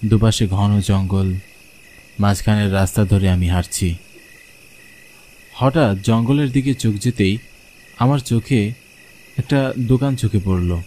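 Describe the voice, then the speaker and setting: A man's voice: lowish voice, steady tone, a little slow, long pauses, warm tone, a little hiss or hum. A man narrating a scripted horror story in the first person, as a storyteller.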